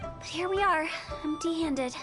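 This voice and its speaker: A woman's voice: very high-pitched, measured pace, over loud music. A woman voicing a playful, self-assured young funeral parlor director in a video game, with a breezy, teasing manner. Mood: explanatory.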